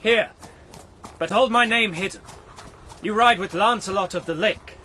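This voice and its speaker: An actor playing a noble, self-doubting great knight, speaking archaic verse in a solemn, declamatory radio drama style. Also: male voice, sits high, a little slow, bright, forceful tone. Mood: guarded. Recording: noisy outdoor ambience with crowd behind.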